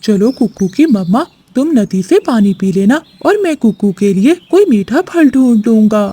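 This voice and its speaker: A woman's voice: a little high, lively intonation, measured pace, mellow, slightly rough. A woman voicing a loving, eager father sparrow in a cartoon, with an affectionate manner. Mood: caring.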